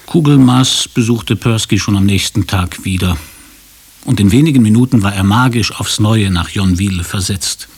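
Low-voiced male storyteller with dry delivery. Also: normal speed, husky. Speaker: narrator. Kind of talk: scripted literary narration in a radio drama.

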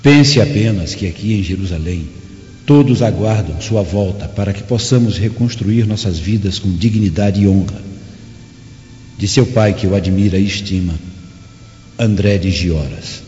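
Male actor as patient vengeful father, Brazilian accent, measured, paternal, mellow tone, roomy echo, music bed, radio drama. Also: fairly low pitch, close to an everyday voice. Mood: firm, plotting. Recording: dull audio, reverberant, music behind.

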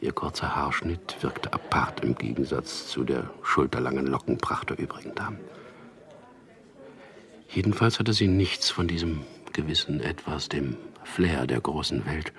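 Tormented drugged prisoner, rock-bottom pitch, vocal fry, hushed, bitter, inward radio-play narration. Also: male voice, expressive, normal speed, heavily acted. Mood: brooding recollection. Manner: breathy, half-whispered inner monologue, brooding. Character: drugged, tormented male prisoner recalling his past, bitter. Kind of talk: radio drama first-person narration.

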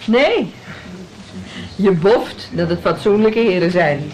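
An actor playing a gruff village innkeeper in a radio play, blunt and full of complaints. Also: male voice, high voice, expressive, slow pace, a little mellow, a little hiss or hum, full character voice. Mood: gruff, grumbling. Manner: blunt, grumbling.

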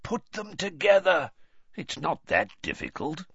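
A man voicing a gruff, shrewd old wizard teacher who growls out blunt advice in an audiobook. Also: mid-range voice, sing-song, unhurried, bright, forceful tone, dry recording, lo-fi sound, heavily acted. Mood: urging.

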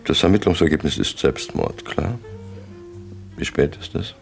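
Very deep, gravelly crime boss. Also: male voice, big pitch swings, unhurried, faint music behind, full character voice. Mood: cool, commanding. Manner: imperious, matter-of-fact, lecturing in clipped statements. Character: ruthless, businesslike crime syndicate boss giving orders over dinner. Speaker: radio play actor. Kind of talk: 1960s German radio play acting.

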